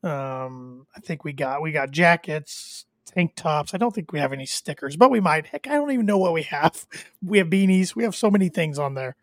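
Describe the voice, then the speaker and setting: Nasal-sounding podcast host with a cold. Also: male voice, fairly high pitch, lively intonation, moderate pace, dry recording, clean studio sound. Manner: chatty, rambling with filler words and restarts. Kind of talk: casual wrestling review chat.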